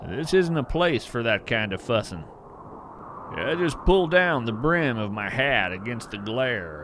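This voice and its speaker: A man playing an earnest wandering naturalist in scripted audio fiction, narrating in a measured, poetic way with deliberate pauses. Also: medium pitch, noisy background. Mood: resigned, observant. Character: earnest, wonder-struck wandering naturalist of hidden creatures. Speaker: podcast host narrator in character.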